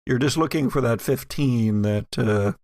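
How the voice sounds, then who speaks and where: Guy running a horror tabletop game, slow and halting, dry close sound. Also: mid-range voice, a little mellow, dry recording. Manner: halting, deliberate scene-setting. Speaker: game master.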